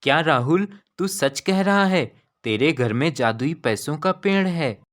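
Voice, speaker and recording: A man voicing a curious, excited young village boy in a cartoon story, eager and amazed in manner. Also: on the high side, a little slow.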